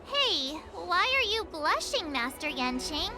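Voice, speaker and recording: A woman's voice: very high-pitched, very animated, takes it slowly, heavily acted. An actress voicing a cheerful, cutesy teenage girl in a game, bubbly and playful in manner. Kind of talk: game cutscene acting. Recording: prominent music behind the voice.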